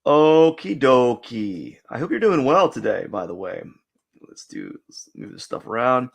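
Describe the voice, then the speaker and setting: A man with a raspy, gravelly voice talks on a live art stream as he sketches. He rambles, trips over his words and starts lines again, in the easy tone of someone drawing and chatting at once. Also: mid-range voice, wildly swooping pitch, unhurried. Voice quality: raspy, cracky voice. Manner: rambling, self-correcting, thinks aloud. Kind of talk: casual live stream chat while drawing.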